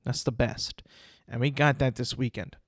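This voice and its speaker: A man's voice: medium pitch, fairly even intonation, normal speed. A young man hosting a solo podcast, congested and sniffling, rambling casually with many fillers and restarts. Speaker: podcast host.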